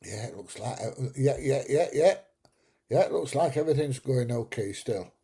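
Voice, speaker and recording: A man's voice: medium pitch, lively intonation, moderate pace, gravelly, warm tone, slightly rough. A middle-aged man hosting a casual live chat, rambling through jokey stories and chuckling at his own tales. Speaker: livestream host. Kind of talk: casual live chat reading viewer comments.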